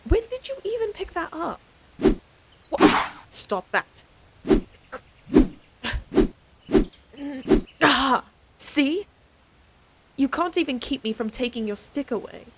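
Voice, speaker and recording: A woman's voice: high voice, big pitch swings, slow, pauses often, heavy background noise, heavily acted. A woman playing a proud, stern lady knight in an audio roleplay, scolding her companion in an exasperated but secretly fond way. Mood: startled, annoyed. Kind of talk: audio roleplay acting, speaking to the listener.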